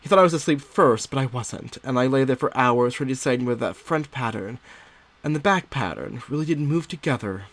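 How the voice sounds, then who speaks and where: A man's voice: mid-range voice, brisk, a bit bright. A man voicing an anxious, secretive young wife in dramatic, theatrical first-person literary narration. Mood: secretive, brooding.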